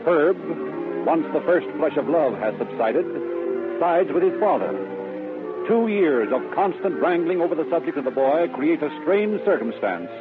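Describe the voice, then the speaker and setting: An actor serving as the American narrator of an old-time radio crime drama, reading formally and dramatically. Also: male voice, fairly high pitch, sing-song, normal speed, over music. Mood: grave, dramatic. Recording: tinny old radio recording.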